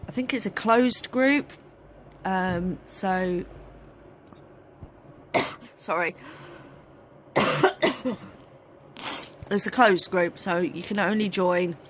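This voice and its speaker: A woman, chatting casually on a live phone stream from a craft studio, slightly hoarse and rambling with many fillers. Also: mid-range voice, fairly bright tone, a little hiss or hum. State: slightly hoarse, losing her voice. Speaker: craft group host. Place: cluttered craft studio, indoors. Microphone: phone held at arm's length.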